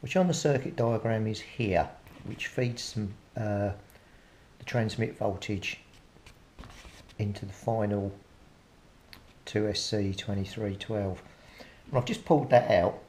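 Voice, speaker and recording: British man, low-pitched voice, casual hobbyist repair commentary, thinking aloud, slight background noise. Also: unhurried, long pauses, darkish tone. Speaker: hobbyist radio repairer presenting a repair video. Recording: clearly noisy recording with clicks.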